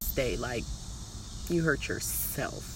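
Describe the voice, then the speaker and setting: A woman's voice: extremely low pitch, animated, slow, background noise. A young woman telling a personal story in a casual, chatty way, candid and slangy, with emphatic repeated phrases. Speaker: storytelling vlogger.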